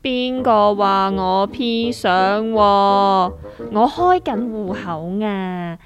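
A woman's voice: mid-range voice, extremely slow, lo-fi sound, over music. A woman with a Hong Kong accent playing a self-assured, trend-chasing small investor who explains things showily in a comic radio drama. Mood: showing off.

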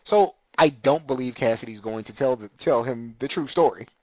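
A man in a casual chat, recapping a TV episode with animated storytelling and acted-out lines. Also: mid-range voice, normal speed, no room sound, muffled recording. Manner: animated retelling, acting out characters' lines. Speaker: TV recap podcaster.

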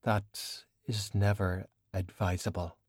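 A man voicing a worldly, stern woman sorcerer in an audiobook, measured, formal and admonishing. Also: on the low side, level tone, husky, no echo, clean studio sound. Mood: grave, cool. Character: worldly, composed, cool woman sorcerer, long-lived and stern.

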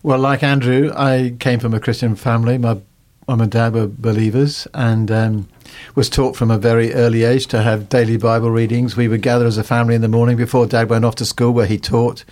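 A man's voice: medium pitch, flat delivery, brisk, hardly any pauses, darkish tone. A man talking about his own life as a guest in a radio studio, in a conversational style. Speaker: interview guest, volunteer board member. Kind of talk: personal account in conversation.

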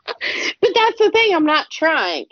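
A woman's voice: extremely high pitch, huge pitch swings, slow pace, a bit bright, dry recording. A woman chatting casually as a podcast co-host, blunt and swearing freely. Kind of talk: casual chat. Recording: dry, dull lo-fi sound.

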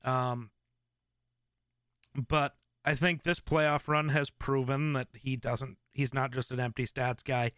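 Male streamer host, smooth and clear, casual rambling delivery with fillers. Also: medium pitch, takes it slowly, no echo, clean studio sound. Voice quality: relaxed, smooth voice. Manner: rambling, with many fillers and restarts. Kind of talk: casual live-stream chat.

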